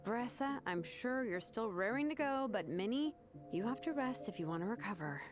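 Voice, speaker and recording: Game role: concerned, mildly scolding companion voiced by a woman, highish, expressive, some music underneath. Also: normal speed, close to an everyday voice. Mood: gently firm. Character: caring, firm companion who looks after friends. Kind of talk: video game character acting.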